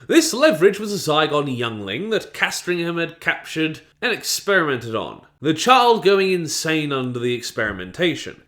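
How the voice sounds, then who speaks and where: Lively bright-voiced male reviewer. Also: medium pitch. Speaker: audio drama reviewer. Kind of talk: scripted review narration.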